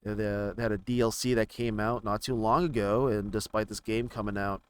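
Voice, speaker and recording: A man giving casual, rambling commentary on video games, haltingly thinking out loud as he browses. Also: fairly low pitch, fairly even intonation, clear, resonant tone. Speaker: gaming video creator.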